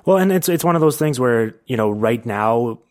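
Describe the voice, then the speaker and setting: A man's voice: mid-range voice, expressive, moderate pace, no echo, studio quality. A man hosting a podcast, talking through sports analysis in a casual, conversational way. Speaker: podcast host.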